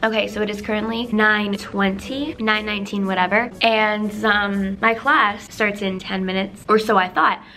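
Raspy young woman vlogging to her phone, chatty and animated, music playing under it. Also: medium pitch, moderate pace, hardly any pauses, poor audio. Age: young adult. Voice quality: raspy, strained voice. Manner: chatty and animated, many fillers. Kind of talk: casual vlog chat to camera. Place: small indoor rooms and study room. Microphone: handheld camera at arm's length.

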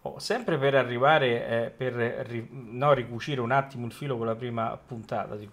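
Guy hosting a casual radio chat, average pitch, feels around for his words. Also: fairly even intonation, moderate pace, a bit bright. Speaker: radio host. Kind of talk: informal radio discussion.

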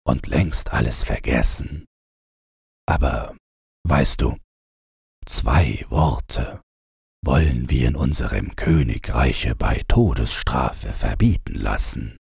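A man's voice: a bass voice, slow pace, creaky, a little mellow, husky, dry recording, clean studio sound, pitched below the performer's own voice, heavily acted. A man voicing a grumpy but affectionate king and husband in a storybook fairy tale. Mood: tender. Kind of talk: storybook character voice.

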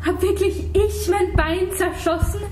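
A haunted, defiant young soldier played by a woman declaims slowly in a stage music drama. The voice is extremely high and theatrical. It rings in an echoey room with faint noise. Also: steady tone. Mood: anguished. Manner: slow, theatrical delivery. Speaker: performer in a student music theatre piece. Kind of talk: experimental opera stage declamation.

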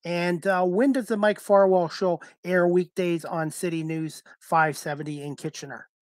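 A man's voice: high-pitched, steady tone, moderate pace, no room sound. Canadian man interviewing, very dry sound. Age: middle-aged. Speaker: talk show host, interviewer. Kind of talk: casual interview questions. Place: indoors, on a video call. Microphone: headset.

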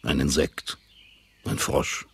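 An actor playing a powerful, scheming old father in a German radio drama, husky and slow, with a commanding, insinuating manner. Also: rumbling low, level tone, full character voice. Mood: menacing, persuasive.

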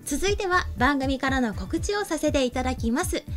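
A woman's voice: high-pitched, lively intonation, normal speed. A young woman co-hosting a show from a desk microphone in a small studio, moving between casual chat and scripted announcements. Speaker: show co-host. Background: faint background music.

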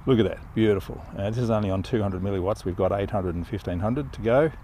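An older man flying a model plane in an open field outdoors, giving relaxed, enthusiastic commentary as he flies. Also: on the low side, fast talker, a little hiss or hum. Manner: relaxed, enthusiastic, thinking aloud while flying. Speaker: RC pilot reviewing a plane. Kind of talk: casual live flight commentary.

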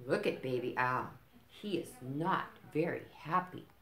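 A woman's voice: very deep voice, unhurried, fairly bright tone. A middle-aged woman reading a picture book aloud to children, with simple explanations and checking questions. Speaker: library story time reader. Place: indoors in a curtained room. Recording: roomy, echoing small-room sound.

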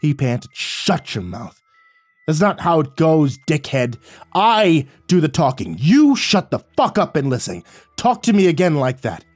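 Man doing a bitter old vet, gravel-low and sneering, boozy, storybook reading, even pitch, close dry sound. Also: moderate pace, no room sound. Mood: menacing. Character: bitter, boozy old male war veteran.

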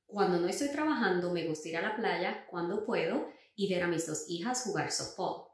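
A woman's voice: on the low side, lively intonation, normal speed. A woman, introducing herself in a room at home. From Puerto Rico. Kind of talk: personal self-introduction. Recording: very echoey room, distant mic.

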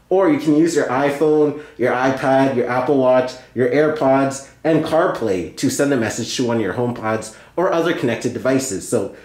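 A man's voice: medium pitch, a little mellow. A man hosting a tech video, narrating a hands-on tutorial step by step and often repeating himself. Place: indoor room at a table. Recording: roomy, echoey indoor sound.

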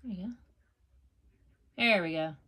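A woman's voice: lowish voice, measured pace, bright, forceful tone. A woman in her thirties chatting casually and encouragingly, as a parent helping a child at home. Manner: patient, encouraging. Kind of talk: casual chat during a home unboxing. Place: small cluttered carpeted room at home. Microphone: handheld camera mic. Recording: noisy handheld home camera recording.

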